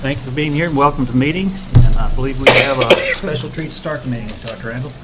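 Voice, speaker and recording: A man's voice: mid-range voice, quick pace, very noisy. A man chairing a school board meeting in a plain, procedural manner.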